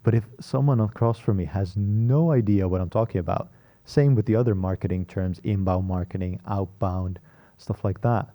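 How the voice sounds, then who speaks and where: A podcast host, a man whose first language is Dutch, reacts and builds on his guest's points in a casual way, often adding "right?" to them. His voice is very deep and sits low, and the tone is very dark. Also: moderate pace. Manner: conversational, frequent tag questions "right?". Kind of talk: podcast interview.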